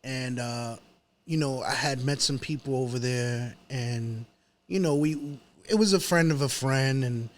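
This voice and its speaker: A man's voice: medium pitch, measured pace, a little hiss or hum. A man in his thirties with a New York accent, chatting casually as a rapper on a podcast, hesitant and often saying "you know". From New York City. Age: 30s. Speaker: rapper being interviewed.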